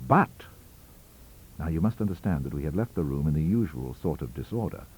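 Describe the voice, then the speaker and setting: A man's voice: a bass voice, animated, fairly quick, a little mellow, breathy edge. A man narrating a ghost story in a theatrical, melodramatic manner. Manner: theatrical, melodramatic, wide-ranging delivery. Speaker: audiobook narrator.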